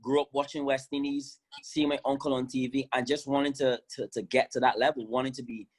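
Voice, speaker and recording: Barbadian man in his thirties, lively interview guest, easy laugh, mid-pitched voice. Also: brisk. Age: 30s. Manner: enthusiastic, laughs often. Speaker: guest, former fast bowler. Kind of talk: video-call interview.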